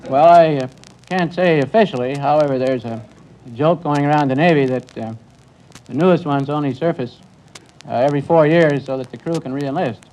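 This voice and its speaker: A man with a slightly high voice answers as a quiz contestant, courteous and measured with small hesitations. It is an old broadcast recording with faint noise behind. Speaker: contestant, naval officer. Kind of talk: question-and-answer interview.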